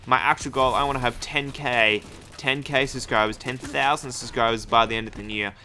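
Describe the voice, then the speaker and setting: A man's voice: mid-range voice, steady tone, brisk, bright, forceful tone, a little hiss or hum. A young man giving casual, hyped, rambling commentary over his own gameplay. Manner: hyped, rambling, self-deprecating. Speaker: gaming YouTuber. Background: game gunfire.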